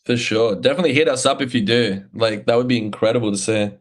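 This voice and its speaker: A man from Argentina hosting a podcast, chatting casually in an enthusiastic, slangy way. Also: medium pitch. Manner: enthusiastic, slangy, quick agreement. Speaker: podcast host. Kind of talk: casual interview chat.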